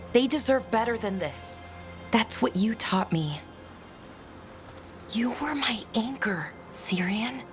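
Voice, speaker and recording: Earnest elven woman, slow and pleading. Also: a little high. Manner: emotional, deliberate, pleading. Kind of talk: dramatic video game voice acting. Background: music.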